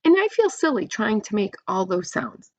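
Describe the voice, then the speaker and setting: A woman's voice: mid-range voice, sing-song, moderate pace, no room sound. A woman voicing an exasperated, easily embarrassed schoolgirl older sister from a storybook, complaining and pleading.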